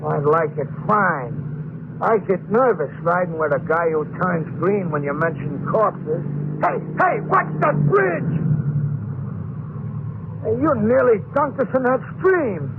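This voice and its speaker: An actor playing a wary, needling hobo hitchhiker with slangy, uneducated speech in an old-time radio drama. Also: male voice, high-pitched, lively intonation, slow pace, full character voice. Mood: nervous, needling. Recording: tinny band-limited old radio recording, hissy noise.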